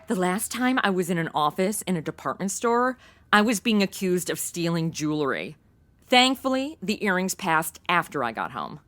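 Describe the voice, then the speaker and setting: A woman's voice: lowish voice, normal speed. A woman playing a brash, irreverent, flirty modern sidekick in a comedy audio drama, flippant and full of wisecracks. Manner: flippant, sassy, deadpan wisecracks.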